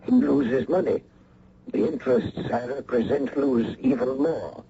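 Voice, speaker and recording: An actor playing a menacing foreign spy in a radio mystery, with formal, deliberate, mockingly courteous speech. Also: male voice, mid-range voice, creaky. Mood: cold threat. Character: cold, menacing male foreign spy with a gun. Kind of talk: old-time radio mystery acting. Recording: noisy, muffled old radio recording.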